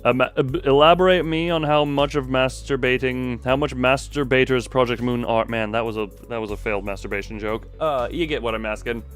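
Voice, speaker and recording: A man's voice: mid-range voice, steady tone, brisk, hardly any pauses, clear, resonant tone, faint music behind. A man casually commentating on a live game stream in an irreverent, joking, sweary way.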